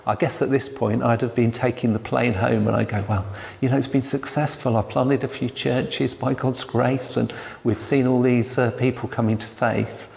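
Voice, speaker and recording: Quiet gravelly preacher in a hall. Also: male voice, medium pitch, steady tone, moderate pace. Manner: quiet, hesitant, with frequent restarts. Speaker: church elder preaching. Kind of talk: sermon. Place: church hall. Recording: long hall reverb.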